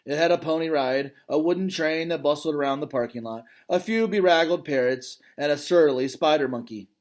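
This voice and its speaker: A man reading a children's novel aloud as its gentle, thoughtful male gorilla narrator, in a calm, reflective way. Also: a little high, a little fast, natural voice. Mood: wistful.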